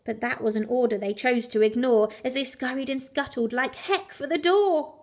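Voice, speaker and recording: High-voiced woman reading a storybook. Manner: animated, dramatic emphasis with measured rhyme. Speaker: primary school teacher reading a bedtime story. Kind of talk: expressive children's storybook reading.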